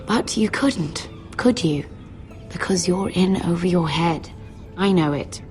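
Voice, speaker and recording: An actress playing a guarded, reproachful young highborn court lady in a dramatic game, poised and pointed. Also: lively intonation, slow pace, a little mellow, faint music behind. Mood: cool, reproachful. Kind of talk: dramatic video game voice acting.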